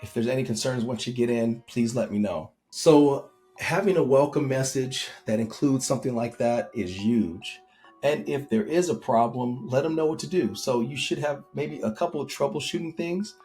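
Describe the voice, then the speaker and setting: A man casually sharing tips for rental hosts, talking in a relaxed way with frequent pauses and fillers. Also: medium pitch, faint music behind. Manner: relaxed, conversational with frequent ums. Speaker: host. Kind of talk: casual advice talk.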